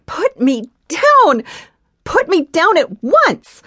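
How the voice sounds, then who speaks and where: A woman voicing a proud, defiant young noblewoman warrior bride who talks haughtily and turns shrill when she shouts. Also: huge pitch swings, unhurried, no room sound, studio quality, heavily acted. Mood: shrieking.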